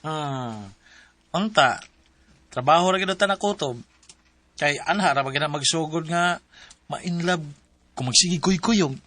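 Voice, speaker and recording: Radio drama buddy: teasing, gossipy, Cebuano Filipino accent, fairly high voice. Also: male voice, measured pace, pauses often, pushed and bright. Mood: sly, warning. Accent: Filipino, Cebuano-speaking. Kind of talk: Philippine radio drama acting.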